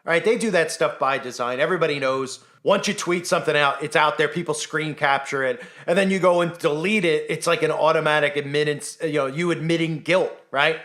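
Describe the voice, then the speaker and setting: A man's voice: fairly high pitch, brisk, hardly any pauses, fairly bright tone. A man giving informal online commentary in an insistent, rhetorical way, often tagging statements with a questioning check. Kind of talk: informal conspiracy commentary monologue.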